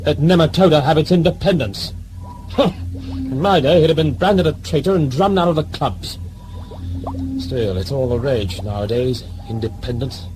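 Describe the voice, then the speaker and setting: An actor playing a pompous, blustering old governor in an audio drama, dismissive and full of bluster. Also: male voice, on the high side, expressive, moderate pace, background noise, natural voice. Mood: scornful. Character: blustering, pompous old prison-ship governor nostalgic for empire.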